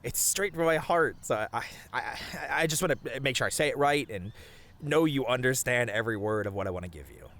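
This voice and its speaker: A man playing a tender, sappy boyfriend in a romantic ASMR roleplay, speaking softly and earnestly to the listener with small hesitant stammers. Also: medium pitch, very animated, brisk. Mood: playful, affectionate. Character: tender, sappy boyfriend confessing love on a picnic.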